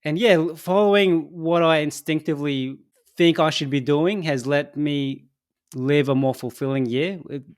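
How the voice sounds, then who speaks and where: Man, young adult, podcast co-host, English not his first language, reflective, halting. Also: fairly high pitch. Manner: thoughtful, halting, laughs easily. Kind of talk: casual podcast chat.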